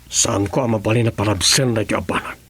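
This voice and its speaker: Earnest Filipino official, radio drama, husky, lowish pitch, serious and deliberate. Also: male voice, fairly even intonation, a bit bright.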